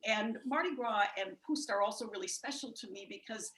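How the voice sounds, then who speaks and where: A woman's voice: medium pitch, brisk, a bit bright, roomy sound, a little hiss or hum, poor audio. A woman musician from the United States chatting casually about her background and her band, with frequent fillers, over a video call. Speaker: musician guest. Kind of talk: casual spoken introduction.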